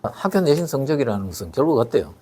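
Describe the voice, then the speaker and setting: An older man speaking sits as the expert guest and lays out his points in a steady, measured way. He pauses on soft fillers and often turns his explanations into rhetorical questions. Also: mid-range voice, husky. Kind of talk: explanatory interview talk.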